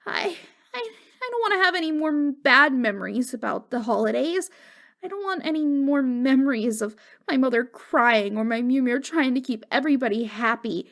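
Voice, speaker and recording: A woman playing a wistful, wounded ghost girl in an intimate ASMR roleplay, speaking in a halting, fragile, stammering way. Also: sits high, lively intonation, fairly bright tone. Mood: distraught, pleading. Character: wistful, wounded ghost girl, long dead since wartime.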